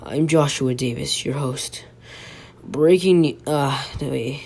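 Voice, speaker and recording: A man playing a sick, halting local radio host who reads breaking news in an amateur audio drama. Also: medium pitch, creaky, a little mellow. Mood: weary, unwell. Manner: halting, stumbling, breaking off to apologize. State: sick, coughing. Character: ailing male local radio news host, dutiful but fading. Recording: noisy home recording with hum.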